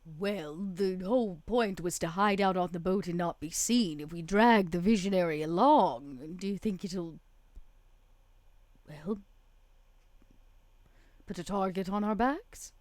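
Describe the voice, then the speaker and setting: A woman roleplaying a shrewd, refined, wry female vampire in a tabletop game, with deliberate, musing phrasing. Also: lowish voice, a little mellow, no room sound, lightly acted.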